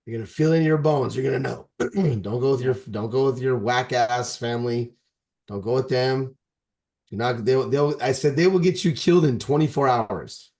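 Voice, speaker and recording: A man in his forties, mid-pitched, chatting on a podcast in a rambling, emphatic way. He keeps cutting himself off and starting over, pressing hard on each point. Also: normal speed, darkish tone. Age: 40s. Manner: rambling, emphatic, with frequent restarts. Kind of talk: casual podcast chat.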